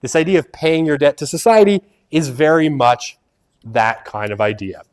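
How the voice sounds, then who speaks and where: A man's voice: fairly high pitch, normal speed, smooth. A man giving a university lecture to a class on a wireless mic, talking in a loose conversational way with many "right?" tags and fillers. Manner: animated, swings to loud emphasis and mutters asides. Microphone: wireless lavalier mic.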